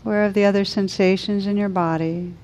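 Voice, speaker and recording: A woman leading a guided meditation as a teacher, speaking slowly and soothingly with gentle pauses. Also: medium pitch, fairly even intonation.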